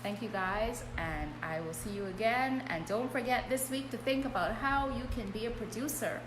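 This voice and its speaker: A woman's voice: fairly high pitch, moderate pace. A woman hosting a live business coaching stream, talking casually and often checking in with "okay?". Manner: chatty, frequent "um" and "okay?" check-ins. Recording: echoey room with clear background hum.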